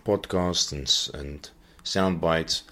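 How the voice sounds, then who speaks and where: A man with a very low voice hosts a Bible teaching podcast. He talks off the cuff in an earnest way, often pausing to find the next word. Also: a bit bright. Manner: earnest, searching for words as he goes. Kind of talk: unscripted teaching talk.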